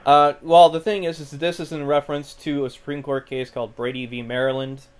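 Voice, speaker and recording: A man on a phone call making an inquiry, speaking in a halting, polite but persistent way. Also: fairly high pitch, level tone. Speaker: caller asking about own case. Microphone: recorded phone call.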